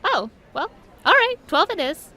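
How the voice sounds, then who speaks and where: A woman playing a brisk, easygoing caravan leader in a fan audio drama, folksy and businesslike. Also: very high-pitched, sing-song, pushed and bright, full character voice.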